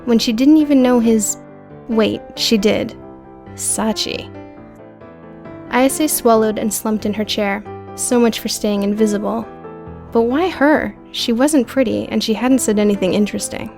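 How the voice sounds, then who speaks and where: A woman's voice: on the high side, a little slow, darkish tone, faint music behind. A woman narrating an audiobook story in a scripted, dramatic storytelling style.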